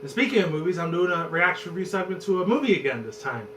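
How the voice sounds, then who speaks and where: A man in his twenties with a hoarse voice, rambling and cursing loosely as a vlog host talking about movies. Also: high-pitched, very animated, quick pace. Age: 20s. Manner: loose and profane, rambles and restarts. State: hoarse from screaming. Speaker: channel host. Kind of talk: rambling casual movie chat. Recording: roomy, distant, noisy with hum.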